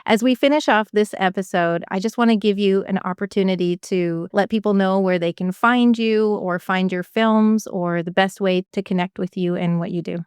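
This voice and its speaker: A woman podcast host from Canada with a smooth, clear voice, chatting with her guest. She sounds enthusiastic and talkative and laughs easily. The sound is dry and close to the mic. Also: mid-range voice, moderate pace, hardly any pauses, a little mellow, dry recording. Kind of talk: casual interview chat.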